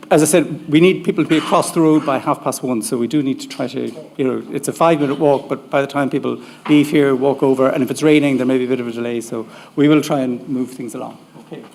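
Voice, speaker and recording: A man organizing a conference, giving practical, halting announcements about food and timing. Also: a little high, vocal fry, darkish tone, slight background noise. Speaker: event organizer. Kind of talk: housekeeping announcements.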